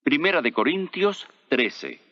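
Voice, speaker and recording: Male chapter announcer, scripted delivery, animated intonation, slightly muffled sound. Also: on the high side, a little slow, a little hiss or hum.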